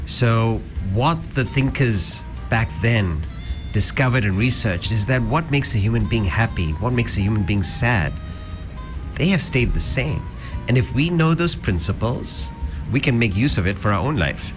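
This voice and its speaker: A middle-aged man raised in South Africa talks as a radio guest, laying out ideas in a calm, measured way. His voice sits at an ordinary pitch, and clear background music runs under the studio talk. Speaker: guest speaker, Vedanta teacher. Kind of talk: radio interview.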